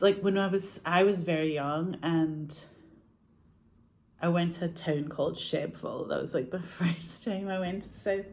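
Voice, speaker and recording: A woman's voice: lowish voice, a little mellow, a little hiss or hum. A Scottish woman telling stories in a rambling, chatty way, laughing often and saying "like" a lot. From Scotland. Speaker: actress and filmmaker. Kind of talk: casual chat.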